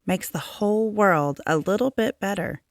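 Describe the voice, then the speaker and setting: A woman voicing a gentle female schoolteacher from a children's storybook, explaining things in an unhurried way. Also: mid-range voice, no echo, clean studio sound, pitched above the performer's own voice, close to an everyday voice. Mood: gentle, earnest.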